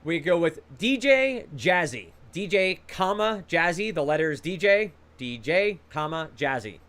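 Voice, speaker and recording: A male quiz host with a bright, fairly high voice that moves around a lot as he reads questions. He chats casually between rounds and encourages the players over a video call. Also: expressive, measured pace.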